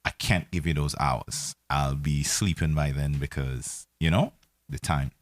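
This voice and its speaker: A man who runs the studio and hosts, talking in relaxed, low-key banter. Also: a little slow. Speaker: studio owner and show host.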